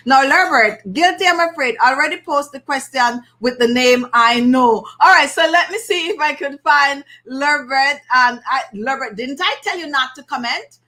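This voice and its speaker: A woman's voice: high-pitched, measured pace, hardly any pauses, pushed and bright. A Jamaican woman hosting a beauty live stream, chatting casually and outspokenly as she reads and answers viewers' comments. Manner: outspoken, laughs often, reads comments aloud. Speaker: beauty channel host.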